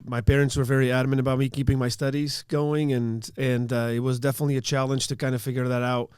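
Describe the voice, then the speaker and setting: A man's voice: mid-range voice, level tone, quick pace, a little mellow, clear, resonant tone, no echo. A man from Portugal, a soccer coach, answering reflectively and searching for words. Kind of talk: interview answer.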